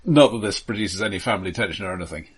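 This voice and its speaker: A man co-hosting a casual film podcast with dry, ironic humour, often halting and repeating words as he goes. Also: lowish voice, a bit bright, slightly rough. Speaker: podcast co-host.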